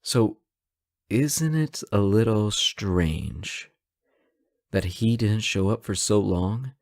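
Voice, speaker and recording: A man's voice: lowish voice, slow, darkish tone, no echo, clean studio sound. A man acting a sarcastic, teasing hostage who taunts a supervillain in a conversational, theatrical roleplay audio drama. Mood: knowing, probing.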